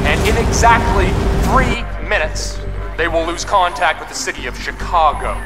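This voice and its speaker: An actor playing a fanatical terrorist leader in a sci-fi motion comic, preaching a deliberate, menacing villain monologue. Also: male voice, high-pitched. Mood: smug, ominous.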